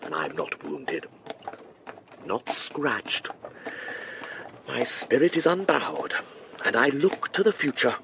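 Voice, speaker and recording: An English actor playing a scheming, proud clergyman in a radio drama, speaking in a deliberate, oratorical way. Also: mid-range voice, huge pitch swings, vocal fry, background noise, exaggerated voice. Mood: wounded pride. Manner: deliberate, oratorical, self-important. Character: scheming, wounded-proud male clergyman, smugly defiant.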